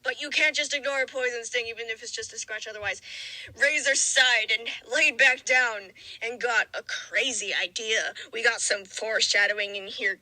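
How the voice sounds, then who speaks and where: A woman's voice: fairly high pitch, sharp tone, dry recording, rough recording. A woman giving a mock-dramatic reading of fan fiction, with sarcastic, theatrical asides. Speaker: narrator and commentator.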